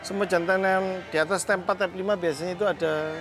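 Man, middle-aged, high-pitched voice, relaxed farm tour talk, lapel mic outdoors, clear background music. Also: fast talker. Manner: relaxed, matter-of-fact, chatty. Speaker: goat farm owner giving a tour. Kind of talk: casual explanatory tour talk. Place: outdoor open-sided livestock barns.

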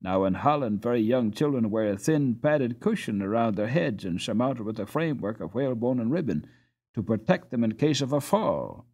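A man reading a children's storybook aloud as an expressive audiobook narrator. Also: medium pitch, normal speed, slightly rough.

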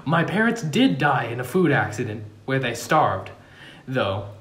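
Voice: mid-range voice, very animated, measured pace, fairly bright tone, roomy sound. Melodramatic, swooping king played by an amateur. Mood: grand, proclaiming. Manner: theatrical, swooping declamation broken by amusement. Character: melodramatic young king turned cheerful, earnest ruler. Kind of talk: amateur comedy script table read. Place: room at home around a wooden table.

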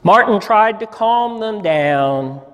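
A man in his sixties, a pastor giving a dramatic story reading in a measured, deliberate way with pauses. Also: sits high, animated, a bit bright. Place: church sanctuary, standing at a lectern. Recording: reverberant church hall.

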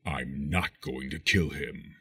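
A masked supervillain with an extremely low voice. It is rough and murky, altered by electronic processing. He speaks slowly and deliberately, cold and full of threat. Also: pushed and bright, full character voice. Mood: cold menace. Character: menacing masked male villain, cold and threatening. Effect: pitch-lowered voice, some with distortion or chorus. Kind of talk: TV supervillain impression.

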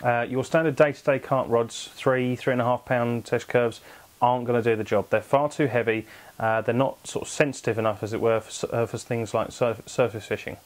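A man's voice: medium pitch, fairly even intonation, brisk. A young man in his twenties, host of an angling channel, explaining tackle to camera from a small home office with lots of fillers and self-corrections.

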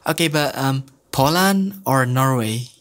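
A man's voice: mid-range voice, measured pace, noisy background. A young man chatting shyly and hesitantly on a video call from a small home studio, speaking into a close desk mic. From Indonesia. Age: young adult. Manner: soft-spoken, bashful, hesitant. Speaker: singer and video chat host. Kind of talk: casual video chat between songs. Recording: guitar music in the background.

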